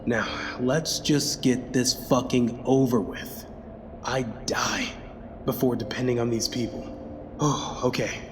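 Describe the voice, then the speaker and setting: A man's voice: medium pitch, steady tone, slow, background noise, natural voice. A man playing an anxious, bitter, foul-mouthed spacecraft crew member in an audio drama. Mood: anxious, weary. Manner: muttering to himself, swearing, sighing. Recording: long hall-like reverb under loud music.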